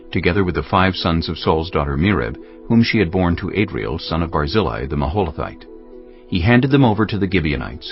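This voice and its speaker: A man narrating scripture as a solemn storyteller in a dramatized audio Bible. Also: low-pitched. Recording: lo-fi, music underneath.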